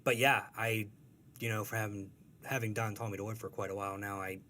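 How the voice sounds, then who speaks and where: A man's voice: on the low side, flat delivery, brisk, pushed and bright, a little hiss or hum. A young adult man, a comic strip creator, talking casually in an interview and telling rambling, self-deprecating stories.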